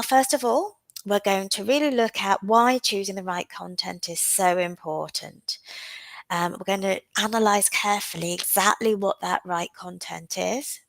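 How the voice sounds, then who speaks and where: A woman's voice: mid-range voice, creaky, a bit bright, no echo, poor audio. A woman teaching a live online exam-prep class, speaking with a cold and filling her sentences with "um" as she explains. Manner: frequent "um" fillers, unhurried and explanatory. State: has a cold. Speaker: OET teacher.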